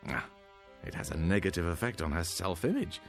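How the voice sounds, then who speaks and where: An actor playing a genial, patronizing doctor in a radio drama, with a condescending, hesitant manner. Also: male voice, low voice, lively intonation, brisk, soft background music. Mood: reassuring, patronizing. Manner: chuckling, condescending, hesitant asides.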